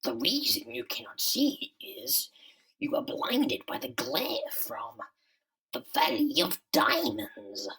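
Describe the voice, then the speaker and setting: Gloating underground villain, super gravelly and slow, swoops around, comic book style. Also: male voice, very high voice, wildly swooping pitch, pushed and bright, whispered, far from the mic, pitched above the performer's own voice, exaggerated voice, low-quality recording. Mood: menacing. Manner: slow, taunting, theatrical. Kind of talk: dramatic comic-book voice acting.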